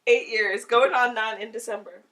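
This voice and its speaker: A woman's voice: on the high side, fairly even intonation, normal speed, fairly bright tone, recorded from a distance. A woman from Africa, a guest chatting casually and telling a story with frequent laughter. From South Africa. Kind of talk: casual interview chat.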